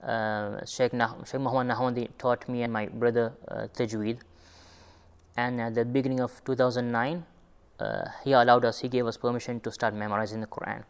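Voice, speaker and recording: A young man who grew up in Sri Lanka, telling his own story in an interview in an unhurried way, often searching for his words. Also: mid-range voice, steady tone, clear, resonant tone. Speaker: former student interviewee. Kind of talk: reflective personal interview.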